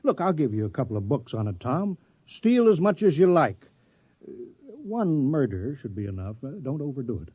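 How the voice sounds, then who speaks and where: A bossy, officious mayor in an old radio play. He explains himself impatiently, with big swings of pitch. The recording is dull and dry, like vintage radio. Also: big pitch swings, moderate pace, slightly rough, no room sound. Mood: officious, insistent. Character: bossy, fussy small-town colony mayor, officious and impatient. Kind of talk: 1950s radio comedy drama acting.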